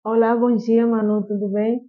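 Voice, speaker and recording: A woman from Cuba with a Cuban Spanish accent, a chemistry professor giving measured, explanatory answers full of small fillers as a podcast guest. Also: on the high side, flat delivery. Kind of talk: podcast interview. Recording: tinny phone-like line.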